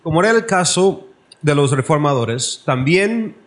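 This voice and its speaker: A man from Canada giving a formal lecture from his notes, careful and measured, slightly halting. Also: a little high, expressive. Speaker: guest lecturer.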